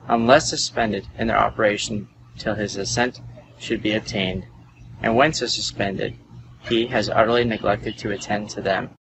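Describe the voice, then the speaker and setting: Mid-pitched man in noisy surroundings. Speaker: volunteer reader. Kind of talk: formal document reading. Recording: noisy ambient background.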